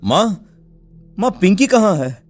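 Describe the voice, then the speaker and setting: A man's voice: high-pitched, big pitch swings, slow pace, faint music behind, exaggerated voice. A man voicing a distraught, grieving village father, anxious and lamenting, in a cartoon story. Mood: alarmed. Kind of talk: dramatized Hindi story for cartoon video.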